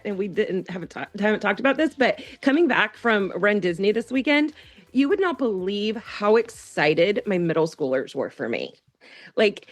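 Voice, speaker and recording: A woman talking casually as an interview guest, enthusiastic, often repeating and restarting her phrases. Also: mid-range voice. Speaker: podcast guest, school teacher.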